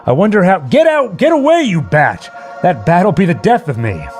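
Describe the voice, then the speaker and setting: A man playing a nervous, rambling man alone in a haunted house in a horror radio play, halting and talking straight to his listeners. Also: high voice, sing-song, darkish tone, faint music behind, heavily acted. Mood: agitated. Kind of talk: old-time horror radio play monologue.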